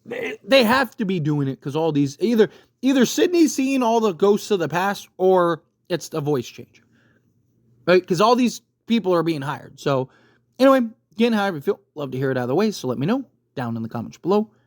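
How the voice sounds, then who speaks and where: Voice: medium pitch, big pitch swings, brisk, dry recording. Dry-sounding, emphatic casual video commentator. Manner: conversational, emphatic, repeatedly checking in with rhetorical questions. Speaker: YouTube host giving film commentary. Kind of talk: casual opinionated rant to camera.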